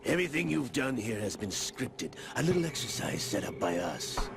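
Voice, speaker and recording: An actor playing a sly older schemer in a video game cutscene, gravelly, smug and condescending. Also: male voice, medium pitch, big pitch swings, normal speed. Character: sly older male schemer, smugly condescending puppet master. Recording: music behind the dialogue.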